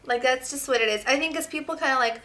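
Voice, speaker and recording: A woman's voice: high voice, fast, sharp tone. A young woman from Serbia with no accent, a guest chatting casually and filling her talk with "like". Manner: chatty, filler-heavy, lots of "like". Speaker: guest, model. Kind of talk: casual interview chat.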